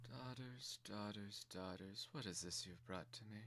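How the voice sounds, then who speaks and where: Sinister matriarch of toys, hushed and sweet yet stern, deliberate delivery, fantasy audio drama male performer. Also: fairly low pitch, a little hiss or hum. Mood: quietly imperious. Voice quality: soft, quiet.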